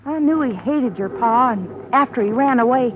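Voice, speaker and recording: A woman's voice: on the high side, expressive, normal speed, lightly acted. An actress playing a desperate, obsessive young hired girl in an American radio drama, speaking with intense, pleading rural speech. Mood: fevered confession. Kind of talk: 1950s radio drama acting. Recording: noisy, tinny old radio recording.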